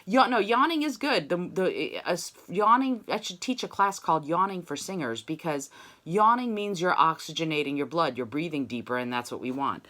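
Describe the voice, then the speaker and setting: A woman leads a singing class over a video call. Her pitch swings widely as she explains and sings quick demo notes. She is encouraging and talks things through. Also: expressive, bright, forceful tone. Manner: encouraging, demonstrates sung vowels. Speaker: voice teacher. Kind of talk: online singing lesson.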